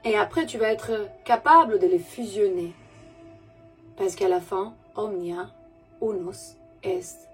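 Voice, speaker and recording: A woman giving a gentle, soothing spiritual message to her viewers in a language that is not her first, pausing often and correcting herself. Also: medium pitch, faint music behind, rough recording. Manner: gentle, soothing, halting with pauses and self-corrections; speaks French as a second language. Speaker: spiritual messenger.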